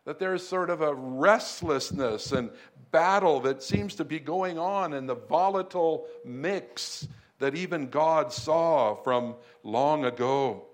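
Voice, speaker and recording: A man preaching a sermon to a congregation in a room, speaking in a measured way with emphatic lifts on key points. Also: on the high side. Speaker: pastor. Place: church room in Beijing.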